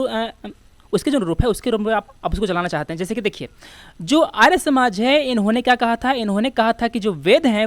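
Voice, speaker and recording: High, lively Indian woman teacher. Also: dry recording. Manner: explanatory, addresses students as friends, switches between two languages. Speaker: exam coaching teacher. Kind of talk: informal lecture explaining quiz questions.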